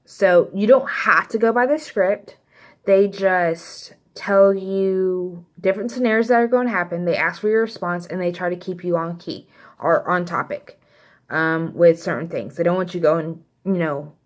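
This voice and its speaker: A young woman with a slight Texas accent, casually telling a story to camera in a chatty, rambling way with lots of fillers. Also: lowish voice. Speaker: vlogger.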